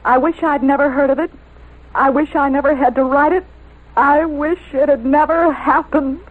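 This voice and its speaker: An actress playing a sympathetic, world-weary newspaper reporter in an old-time radio drama, gentle and halting. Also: female voice, high voice, fairly even intonation, natural voice. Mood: weary regret. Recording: tinny, slightly hissy old radio.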